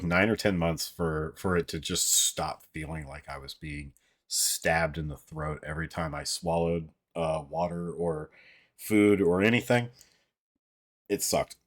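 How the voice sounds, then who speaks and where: A man with a deep, somewhat monotone voice, chatting casually as a livestream host in a rambling, halting way with plenty of swearing. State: voice somewhat out of sorts. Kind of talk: casual livestream chat, reading viewer comments.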